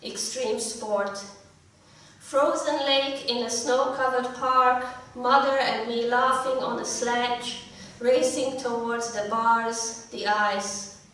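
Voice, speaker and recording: A middle-aged woman with a slightly croaky voice, reading her poetry in a measured, deliberate way at a live event. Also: a little high, fairly even intonation, fairly bright tone. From Hungary. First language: Hungarian. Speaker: poet. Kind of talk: live poetry reading with short spoken introductions. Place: indoor live event room. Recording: echoey room, distant mic.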